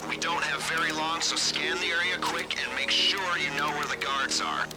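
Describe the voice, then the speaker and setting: An actor playing a cocky, intense covert operative who gives clipped, sardonic mission orders in a video game. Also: male voice, fairly high pitch, fairly even intonation, moderate pace, natural voice. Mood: focused briefing. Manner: sardonic, controlling, clipped instructions. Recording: loud music under the voice.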